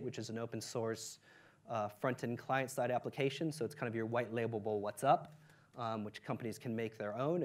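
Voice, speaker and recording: A man giving an energetic startup pitch presentation, with many fillers, lifting his voice on key points. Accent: non-Ukrainian English.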